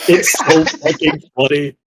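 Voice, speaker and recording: A man's voice: fairly high pitch, fairly even intonation, measured pace, a loud crowd. A young adult man hosting a casual podcast, rambling along in loose, profane talk. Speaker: podcast host.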